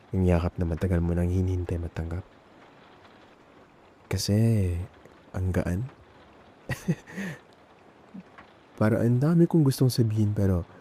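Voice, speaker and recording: Dark, low-voiced, tender young Filipino boyfriend acted by a man. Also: a little hiss or hum. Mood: soft, intimate. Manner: halting, hesitant, repeating words. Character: nervous, tender young man confessing love to male best friend. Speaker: ASMR audio roleplay performer. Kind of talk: intimate ASMR boyfriend roleplay.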